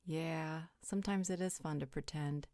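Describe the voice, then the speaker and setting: A woman's voice: low voice, steady tone, moderate pace, a little mellow, dry recording, close to an everyday voice. A woman playing a playful, caring member of a supernatural pack in a roleplay audio drama, teasing and reassuring. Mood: amused, knowing.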